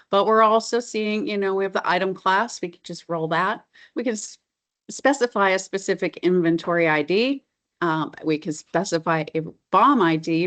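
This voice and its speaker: A middle-aged woman presenting a software demo as a consultant, explaining features in an informal, enthusiastic, conversational way. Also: lowish voice.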